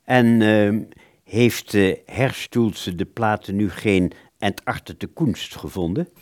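A man's voice: lowish voice, slow pace, no echo, clean studio sound. A man playing an earnest, courteous musician in a radio play, hesitant and formal, stumbling over his words. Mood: tipsy probing.

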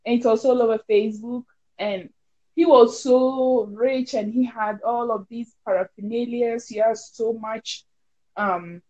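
A woman's voice: on the high side, fairly even intonation, measured pace, dark tone, dull audio. A woman with a Ghanaian accent in casual, conversational chat.

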